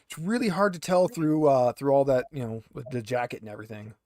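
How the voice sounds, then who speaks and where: A man's voice: medium pitch, fairly quick, mellow, no echo, clean studio sound. A man speaking casually as the game master of an online tabletop roleplaying game. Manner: casual, conversational.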